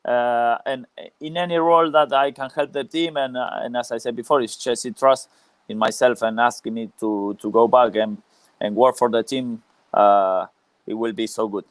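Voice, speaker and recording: Guy being interviewed, smooth clear voice, halting, repeats stuff, dry sound. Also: medium pitch, steady tone, dry recording. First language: Spanish. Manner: halting, searching for words, repeats phrases. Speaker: former professional footballer, interview guest. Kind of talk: casual live interview.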